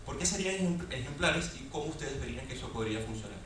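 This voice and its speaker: A man from Venezuela moderates a panel, hesitating often with fillers between long questions. His pitch is ordinary for a man. He sits far from the mic in a very echoey hall with faint noise. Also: lively intonation, fast, a bit bright.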